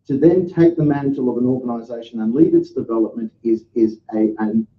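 A man's voice: medium pitch, fairly quick. A man delivers a formal tribute, stressing each point through repetition. He speaks in a mid-range voice, the hall echoes, and the audio is dull. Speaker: speaker at a celebration. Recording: echoey room, dull sound.

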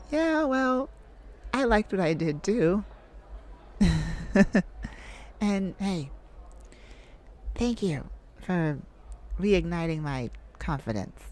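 A woman's voice: mid-range voice, expressive, drawn-out words, long pauses, darkish tone. A woman voicing a bashful, flirty male lounge singer in a coy, intimate ASMR roleplay. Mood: bashful, grateful. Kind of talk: ASMR roleplay, intimate addressed-to-listener acting.

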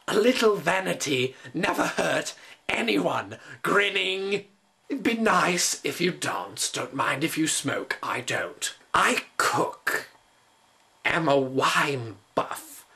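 A man voicing a vain, flamboyant older gentleman with a theatrical, drawn-out delivery in a comic dramatic reading. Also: on the high side, creaky, heavily acted.

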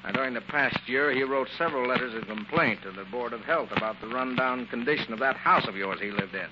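A man's voice: mid-range voice, fast, lightly acted. Brusque police inspector played by a man, noisy old radio. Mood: stern. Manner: brusque, authoritative. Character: stern, no-nonsense police inspector interrogating a suspect. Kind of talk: 1940s radio drama acting. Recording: dull, hissy 1940s radio recording.

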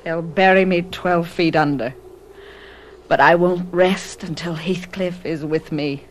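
A woman's voice: fairly low pitch, steady tone, takes it slowly, a little hiss or hum. An actress playing a willful, passionate young married woman in a radio drama, imperious and dramatic. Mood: anguished, weary.

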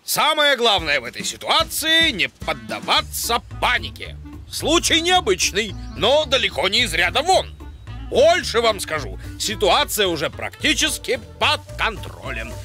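A pompous little cartoon animal leader, high for a male voice and sharply bright. He speechifies in an officious, reassuring way, with faint music underneath. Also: huge pitch swings, unhurried, heavily acted. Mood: officious reassurance. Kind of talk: animated educational cartoon dialogue.

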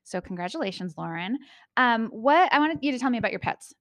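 A friendly woman with a slightly high voice presents school awards on a handheld mic. The sound is dry and close, from a small indoor studio. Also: expressive, brisk, fairly bright tone, no echo. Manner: friendly, with fillers. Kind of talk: school announcements.

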